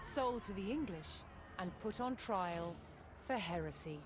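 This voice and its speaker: A woman narrates a historical documentary in a mid-range voice. Her delivery is scripted, measured and solemn, in the manner of a serious storyteller. Music plays strongly behind her throughout. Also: mid-range voice, animated.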